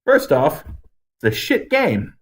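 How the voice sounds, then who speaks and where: A man's voice: fairly high pitch, wildly swooping pitch, slow. A man co-hosting a podcast, giving blunt, emphatic opinions in casual chat with some swearing. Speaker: podcast co-host. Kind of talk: casual ranting movie review chat.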